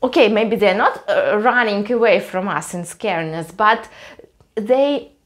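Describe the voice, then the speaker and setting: A woman's voice: mid-range voice, measured pace. A young woman from Russia with a Russian accent, telling a story to camera at home in a hesitant way, with many fillers. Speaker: vlogger. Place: small cluttered room at home, indoors.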